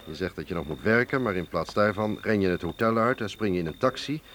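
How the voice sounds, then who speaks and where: A shrewd, burly agent in a radio drama, protective and quietly teasing. His voice is low and his delivery measured and probing. Crickets and night noise sound behind him. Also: animated. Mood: probing concern. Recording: clear noise, outdoor night insect ambience. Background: chirping crickets.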